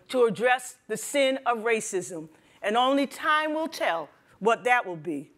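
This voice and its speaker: An older woman giving a prepared speech on a panel in a deliberate, solemn manner. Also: a little high, a bit bright. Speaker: panel speaker, religious sister. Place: wood-panelled library room at a panel table. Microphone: lapel.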